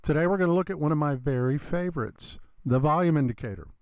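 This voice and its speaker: A man with a slightly congested, gravelly voice, explaining a trading chart in a relaxed lesson and calling his viewers "you guys". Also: on the high side, quick pace, no echo. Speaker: trading educator. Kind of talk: screen-recorded trading lesson.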